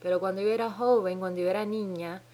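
A woman's voice: mid-range voice, fairly even intonation, quick pace, dark tone. A woman hosting her own podcast with a Dominican-tinged Spanish accent, talking alone in a hesitant way, often stopping to search for words and restarting. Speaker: podcast host. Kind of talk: solo podcast monologue. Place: home in New Zealand.

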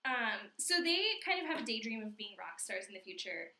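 A woman's voice: a little high, very animated, a little fast, sharp tone, echoey room. A woman co-hosting a podcast, casually recapping a cartoon episode with frequent laughs. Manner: laughs often, chatty, drops to a near whisper at times. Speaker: podcast co-host.

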